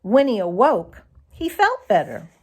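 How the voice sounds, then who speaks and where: A woman reading a children's animal story aloud as narrator, in an expressive storybook style. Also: a little high, measured pace, slightly rough.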